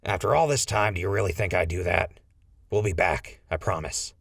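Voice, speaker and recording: Rough ringleader played by a man, low-pitched and gravelly, terse and practical, for a wasteland audio drama. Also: moderate pace, a bit bright, no room sound, clean studio sound, full character voice. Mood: wry, reassuring. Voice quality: husky, gravelly. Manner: terse, giving orders. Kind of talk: post-apocalyptic audio drama acting.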